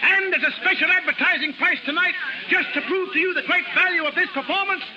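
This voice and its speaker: Brash high-pitched carnival barker, old radio. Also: male voice, brisk, noisy background. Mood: rousing showmanship. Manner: commanding, punchy showman patter. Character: brash, gruff male carnival barker and show boss. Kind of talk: 1930s radio acting. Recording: muffled 1930s radio recording with music.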